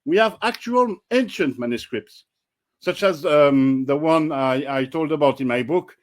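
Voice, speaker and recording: A blunt, firm man who explains points in a measured way. His voice sits a little high, and he speaks as a guest researcher on a casual panel. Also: expressive.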